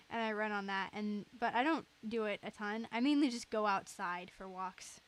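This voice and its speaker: A woman's voice: medium pitch, a little slow. A woman chatting casually on a game livestream in a giggly way, reading out chat as she goes. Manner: giggly, reads chat aloud. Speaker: game streamer.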